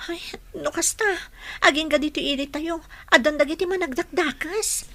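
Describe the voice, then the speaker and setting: A high, youthful female voice from an actress plays an anxious young woman in a radio drama. She is emotive and questioning, in the heightened manner of Ilocano serial acting. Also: normal speed, bright, forceful tone. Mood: shocked, troubled.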